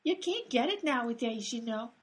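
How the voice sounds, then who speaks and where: A woman voices brassy, gossipy 1920s theatregoers in a radio comedy. The pitch is on the high side and leaps around, and the delivery is slangy and wisecracking. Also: animated, moderate pace, lightly acted. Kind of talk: old-time radio comedy acting.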